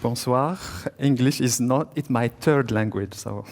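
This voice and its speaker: A man's voice: mid-range voice, unhurried, darkish tone. A man from Morocco whose first language is Arabic, giving a talk in simple English and laughing often. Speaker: guest speaker. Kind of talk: informal lecture. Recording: long hall reverb.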